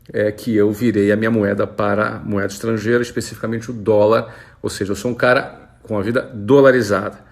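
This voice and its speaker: A Brazilian man in his forties, an entrepreneur talking casually to camera and answering follower questions in a relaxed, conversational way. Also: mid-range voice, gravelly. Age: 40s. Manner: relaxed, conversational, with frequent fillers.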